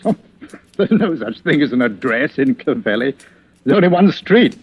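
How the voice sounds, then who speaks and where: An actor playing a genial, clipped British police chief inspector in 1940s radio drama style. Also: male voice, medium pitch, sing-song, moderate pace, husky. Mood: affable, casual. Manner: clipped, affable, casual. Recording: muffled vintage radio recording.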